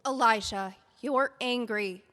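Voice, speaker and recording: An anxious young editor pleads urgently, as an actress plays her. Her voice is high and bright and rises and falls a lot. A long reverb hangs behind her. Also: slow pace. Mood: pleading. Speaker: book editor. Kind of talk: audio drama acting.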